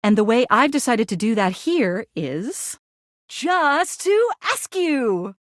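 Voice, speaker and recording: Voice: medium pitch, takes it slowly, no room sound, studio quality. Upbeat chatty dating game character, breezy and casual, voice bouncing all over. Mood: playful, friendly. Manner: breezy, informal, expressive. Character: upbeat, chatty, easygoing video game date companion. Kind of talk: video game character dialogue.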